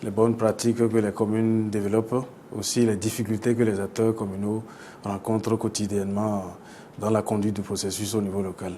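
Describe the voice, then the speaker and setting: Male expert guest, Beninese French accent, dark soft-edged tone, halting explanatory delivery, audible noise. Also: lowish voice, little pitch movement. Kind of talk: explanatory interview answers. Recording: clear background noise.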